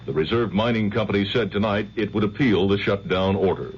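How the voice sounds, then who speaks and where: Man reading 1970s radio news. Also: lowish voice. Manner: crisp, measured news delivery. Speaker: network news anchor. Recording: dull old radio broadcast with faint hiss.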